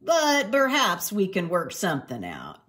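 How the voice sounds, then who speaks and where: A woman's voice: mid-range voice, wildly swooping pitch, a little slow, a bit bright. A woman voicing an elderly male beagle innkeeper in a storybook, flustered and fussy, with a hesitant, stammering delivery. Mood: bargaining.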